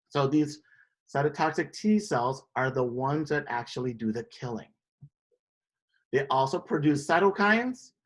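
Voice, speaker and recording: This is an instructor from Indonesia teaching a live class. The delivery is explanatory and steady, pausing often to check in with the students before moving on. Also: medium pitch. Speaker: biology instructor. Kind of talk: classroom lecture.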